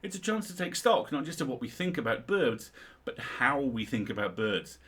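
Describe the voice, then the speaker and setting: A middle-aged man with a slightly husky, mid-pitched voice reads comic material aloud on a livestream. He is wry and playful, dropping in casual, sweary asides as he goes. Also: sing-song, normal speed, a bit bright. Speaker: livestream co-host reading aloud. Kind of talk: reading a humorous article aloud with casual asides.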